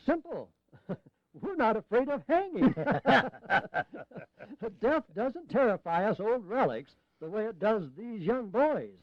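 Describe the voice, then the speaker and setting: Crusty, animated old delegate. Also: male voice, sits high, slow pace, no room sound, highs cut off, exaggerated voice. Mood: jovial, frank. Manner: grumbling, dryly humorous. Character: frail old delegate, crusty, droll, fearless. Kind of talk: audio drama acting.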